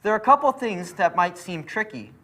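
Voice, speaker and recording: A man's voice: high voice, animated, a little fast, pushed and bright. A man explaining a puzzle method as an instructor, patient and methodical in his narration. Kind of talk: step-by-step tutorial narration.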